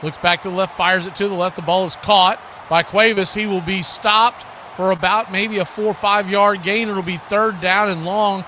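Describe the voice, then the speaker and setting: A man's voice: high-pitched, steady tone, normal speed, few pauses, bright, forceful tone, slight background noise. An American man giving live play-by-play football commentary as host, in short, clipped present-tense calls.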